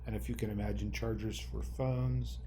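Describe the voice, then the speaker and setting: A man's voice: fairly low pitch, level tone, moderate pace, noisy background. A man co-hosting a podcast in casual chat, speaking hesitantly with many ums and trailing phrases. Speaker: podcast co-host.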